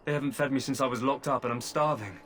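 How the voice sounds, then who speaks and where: A man's voice: medium pitch, fast, fairly bright tone, lightly acted. A man doing a wry, wheedling caged prisoner. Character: hungry, flirtatious male prisoner, a deserter. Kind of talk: video game voice acting.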